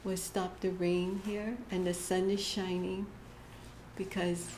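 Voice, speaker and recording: A woman giving a short inspirational church message, gentle and halting, often laughing at herself. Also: lowish voice, flat delivery. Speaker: church speaker. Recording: echoey hall with faint room noise.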